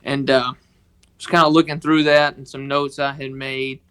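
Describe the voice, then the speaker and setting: A man with a Mississippi accent, telling a personal story on a podcast in a halting, earnest way. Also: mid-range voice, flat delivery, a bit bright, clean tone. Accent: Southern US, Mississippi. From northeast Mississippi, United States. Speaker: podcast guest, organization member telling his story.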